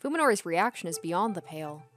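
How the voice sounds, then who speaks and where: A woman's voice: on the low side, very animated, fast. A woman streaming a game, reading visual novel narration aloud with casual asides. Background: soft game music.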